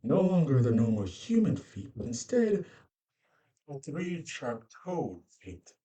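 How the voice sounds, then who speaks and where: A man narrating an informal fantasy creature guide in halting, loosely phrased explanations. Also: fairly high pitch, wildly swooping pitch, mellow, distant mic.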